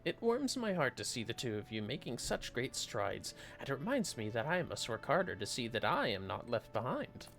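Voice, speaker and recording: A man's voice: mid-range voice, sing-song, moderate pace, few pauses, a bit bright, soft background music. A man voicing an earnest, humble scholarly mentor in a fantasy game, with formal, ornate phrasing. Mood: warm pride.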